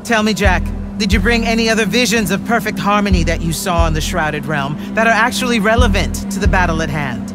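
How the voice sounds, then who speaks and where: A woman's voice: medium pitch, a little fast, loud music behind the voice, close to an everyday voice. A woman playing a stern, impatient military commander in a video game, authoritative and clipped with a sardonic edge. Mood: cold, impatient.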